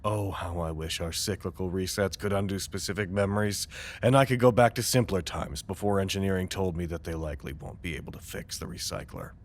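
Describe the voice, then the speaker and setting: An actor playing an anxious, weary site director in an audio drama, dictating reports in a wordy, formal, dryly exasperated manner. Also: male voice, low voice, a little fast, a little hiss or hum, close to an everyday voice. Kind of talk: audio drama acting, dictated log monologue.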